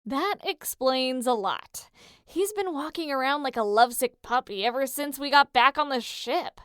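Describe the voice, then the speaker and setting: A cheeky teenage boy from an adventure story, acted out by a woman, pitched high for a lad. He teases and pushes with brash confidence, recorded very dry. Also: animated, normal speed, a bit bright, smooth, no room sound. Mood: teasing. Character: Leo, cheeky, teasing teenage boy demigod. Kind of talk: dramatic reading of a fan story.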